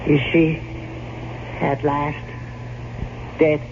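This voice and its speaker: A man acting a frightened manservant in an old-time radio drama, speaking haltingly with dread and dramatic pauses. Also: fairly high pitch, flat delivery. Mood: fearful, anxious. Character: frightened, superstitious manservant in a gothic tale. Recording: muffled, very noisy old radio recording.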